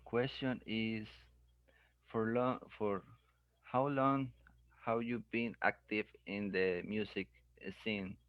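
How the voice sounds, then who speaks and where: Slow, halting male interviewer. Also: fairly low pitch, steady tone, no echo, muffled recording. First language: Spanish. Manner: halting, slow questions with brief "yeah, man" replies. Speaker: radio interviewer. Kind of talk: interview questions.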